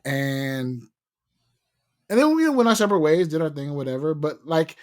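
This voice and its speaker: A man's voice: a little high, lively intonation, moderate pace. A man hosting a casual podcast interview, rambling and repeating words as he searches for what to say. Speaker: podcast host.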